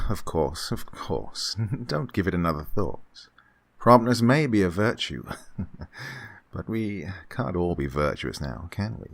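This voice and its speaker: An actor playing an unctuous, scheming gentleman employer who feigns kindly absent-mindedness, fussy and repetitive, in an old-time radio horror drama style. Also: sits low, very animated, slow pace, vocal fry, full character voice. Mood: oily, genial. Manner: fussy, hesitant, repeats himself, chuckling.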